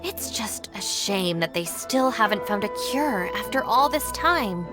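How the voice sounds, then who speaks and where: An actress playing a poised, responsible young gang deputy in a video game, speaking politely, formally and persuasively. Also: female voice, medium pitch, expressive, unhurried, music in the background, lightly acted. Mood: earnest, persuasive.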